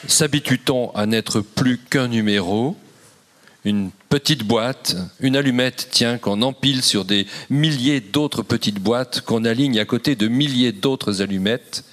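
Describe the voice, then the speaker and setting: An older man moderating a panel in a lecture hall, measured and slow when reading a passage aloud. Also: medium pitch, fairly bright tone. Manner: measured, reads a quoted passage slowly.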